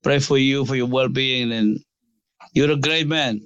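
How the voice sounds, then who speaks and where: A man in his late sixties calling in, speaking hesitantly with many fillers, grateful and warm. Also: mid-range voice, steady tone, measured pace, clean tone, dry recording, lo-fi sound. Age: late 60s. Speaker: caller. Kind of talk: casual chat.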